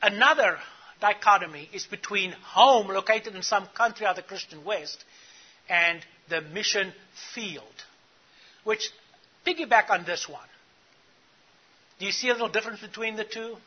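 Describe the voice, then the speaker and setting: A man's voice: sits high, moderate pace, pauses often, breathy edge, muffled recording. A middle-aged man from Africa, giving an earnest teaching talk to church leaders and putting questions to the audience. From South Africa. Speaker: conference speaker.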